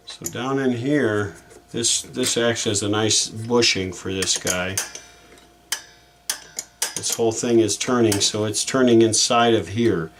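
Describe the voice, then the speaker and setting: A man's voice: fairly low pitch, a little hiss or hum. A man giving a casual hands-on repair demonstration, thinking aloud in an informal, folksy way as he works. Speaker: repair hobbyist hosting a how-to video.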